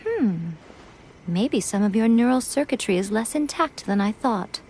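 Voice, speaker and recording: Coy, teasing shrine maiden. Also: female voice, a little high, animated, unhurried, darkish tone, slight background noise. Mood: coy, amused. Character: playful, self-assured, teasing, cunning shrine maiden. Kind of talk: video game voice acting. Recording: music under the voice.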